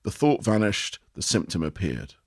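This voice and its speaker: Male audiobook reader, low and expressive, dry close-mic read. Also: moderate pace, husky, no room sound. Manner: expressive reading with lively rises and falls. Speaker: narrator reading an article. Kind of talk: measured audiobook narration.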